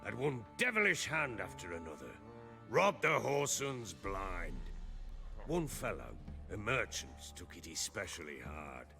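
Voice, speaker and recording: Middle-aged baron, coarse, commanding, gravelly, animated intonation, video game drama. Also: male voice, on the low side, a little slow, music in the background, heavily acted. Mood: wry storytelling. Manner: coarse, swearing, blustering.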